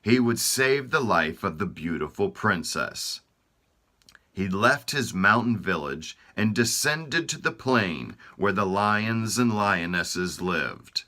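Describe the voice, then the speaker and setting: A man reading a children's storybook aloud, slow and expressive. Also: on the low side. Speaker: storybook reader.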